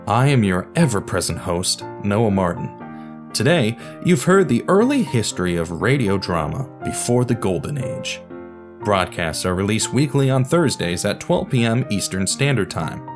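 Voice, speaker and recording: A man's voice: on the low side, expressive, normal speed, music in the background. A man hosting a podcast, reading scripted history narration with wry, informal asides. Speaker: podcast host.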